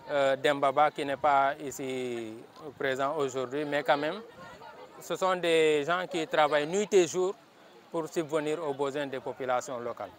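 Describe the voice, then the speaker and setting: A man with a Senegalese accent speaks as a local elected official, giving a semi-formal statement in an earnest, emphatic way, repeating phrases for stress. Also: fairly high pitch. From Casamance, Senegal. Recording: outdoor field recording with background noise.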